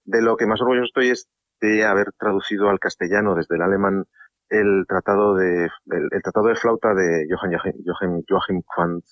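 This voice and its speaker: A man's voice: deep voice, fairly even intonation, normal speed, dry recording, highs cut off. A middle-aged man from Spain, a harpsichordist and translator, talking at length as a radio guest with many restarts. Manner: talkative, warm, many restarts and laughs. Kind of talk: relaxed radio interview.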